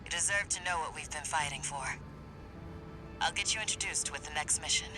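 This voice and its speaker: A woman plays a brash mercenary leader from a video game. She gives orders with cocky, commanding confidence. Loud music and engine rumble run behind her. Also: fairly quick, close to an everyday voice. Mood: wry, resolute. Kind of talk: video game radio briefing.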